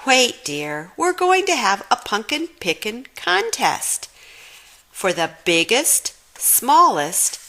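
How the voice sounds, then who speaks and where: Woman playing a kindly schoolteacher, bright and animated. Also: a little high, slow, exaggerated voice. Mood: instructing. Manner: addressing children, announcing. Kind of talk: children's storybook read-aloud.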